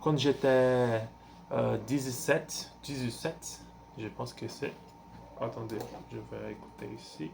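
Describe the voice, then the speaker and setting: A young man from Brazil speaks French with a Brazilian Portuguese accent at a slow, unhurried pace. His voice carries a gravelly edge, and he keeps stopping to hunt for words and restart his sentences. A faint hiss of noise sits under the recording. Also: mid-range voice, steady tone. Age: 20s. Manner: halting, searches for words with many fillers and restarts. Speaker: language learner vlogger. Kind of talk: casual self-introduction.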